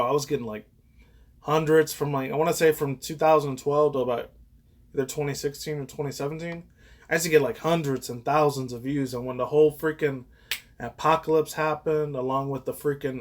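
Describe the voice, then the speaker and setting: A man in his thirties giving casual, rambling reaction commentary as a YouTuber, frank and profane. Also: medium pitch. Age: 30s. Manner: crude, frank, profane, chuckling asides.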